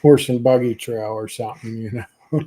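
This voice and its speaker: Man from Pennsylvania, casual host, mellow tone, chatty, laughs easily. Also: mid-range voice, fairly even intonation, moderate pace. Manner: chatty, warm laughs, many "you know" fillers. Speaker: podcast host. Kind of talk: casual chat on a livestream.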